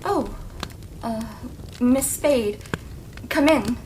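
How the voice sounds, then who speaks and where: A woman playing a manipulative young femme fatale who pleads for help in a breathy, theatrical way, in an amateur audio drama. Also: on the high side, extremely slow, darkish tone, slight background noise, poor audio. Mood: pleading.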